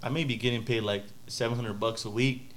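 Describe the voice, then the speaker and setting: A man's voice: mid-range voice, steady tone, brisk, a bit bright, slight background noise. A young man talking casually as a guest, rambling with lots of fillers and restarts. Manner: laid-back, rambling with many fillers. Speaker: podcast guest. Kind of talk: casual chat.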